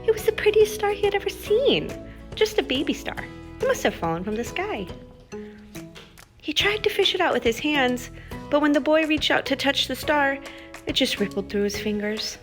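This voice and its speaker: A woman presenting to young children in the style of a lesson and storybook read-aloud, with a simple, guiding manner. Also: a little high. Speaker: children's STEM teacher and presenter.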